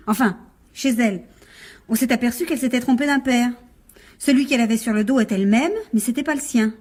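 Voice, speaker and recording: An actress playing a cheeky, defiant young woman of twenty-one who is quick to talk back in a French radio crime drama. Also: a little high, a little mellow, natural voice. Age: young adult. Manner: impudent, quick to retort. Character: cheeky, defiant 21-year-old woman with a muddled alibi.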